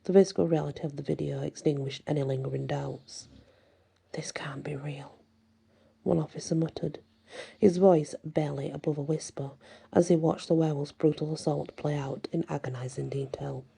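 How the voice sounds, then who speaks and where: A woman reading a horror story in ASMR style, with a slow, dramatic delivery that drops toward whispers. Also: deep voice, steady tone, creaky, dark tone, slightly rough. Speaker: story narrator.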